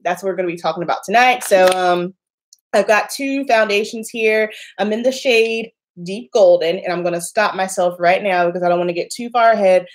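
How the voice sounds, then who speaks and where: A woman with a Southern American accent talks casually to her viewers on a livestream. She sounds a little congested and nasal, and she is chatty and emphatic, breaking into laughs. Her voice sits at an ordinary middle pitch for a woman. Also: brisk. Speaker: makeup artist livestreaming to viewers.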